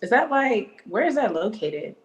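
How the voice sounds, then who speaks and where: A young woman co-hosting a casual live stream, playful and animated, laughing often as she reads viewer comments. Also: fairly high pitch, normal speed. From United States. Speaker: co-host.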